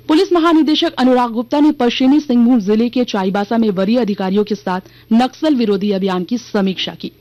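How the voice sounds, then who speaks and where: A woman reads a scripted regional radio news bulletin in a formal, measured manner. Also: on the high side, a little mellow, no room sound, highs cut off. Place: radio studio.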